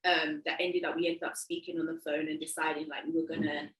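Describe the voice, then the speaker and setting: Animated woman storyteller, echoey room. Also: sits low, fast, slight background noise. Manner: animated storyteller, laughs often. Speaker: podcast co-host. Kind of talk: casual storytelling.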